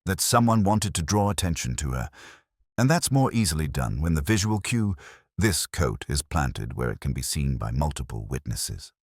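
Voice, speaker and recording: A man voicing a calm, quietly shrewd police inspector who lays out evidence in a measured, understated and methodical way. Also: a bass voice, no room sound, studio quality, exaggerated voice. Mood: methodical, certain.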